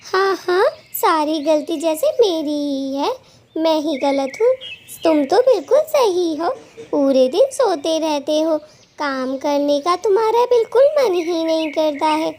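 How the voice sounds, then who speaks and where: Woman voicing a high-pitched, bossy cartoon bird wife. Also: expressive, measured pace, a little mellow, a little hiss or hum. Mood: nagging, sulky. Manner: scolding, taunting, dramatic. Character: bossy nagging female bird, quick-tempered wife. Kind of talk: children's cartoon story dialogue.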